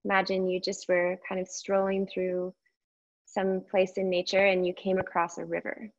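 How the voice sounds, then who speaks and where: A woman teaching yoga meditation, speaking gently and unhurriedly in short phrases with long pauses between them. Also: medium pitch, completely flat delivery, lo-fi sound. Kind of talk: guided meditation instruction.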